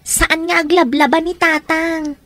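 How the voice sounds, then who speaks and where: A woman's voice: very high-pitched, flat delivery, measured pace, fairly bright tone, no echo. A woman playing a young daughter troubled by her parents' quarrels in a radio drama. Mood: concerned. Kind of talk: radio comedy drama acting.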